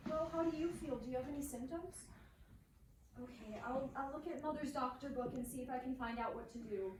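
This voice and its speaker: An actress playing a spirited, outspoken young sister in a period family drama, emotional and emphatic. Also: female voice, high voice, fairly quick, darkish tone, close to an everyday voice. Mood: concerned. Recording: echoey room, distant mic, clear noise.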